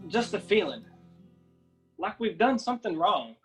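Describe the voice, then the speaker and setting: A man's voice: high voice, very animated, unhurried, a bit bright, faint music behind, heavily acted. A man playing a troubled, hesitant young man in a radio drama. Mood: uneasy.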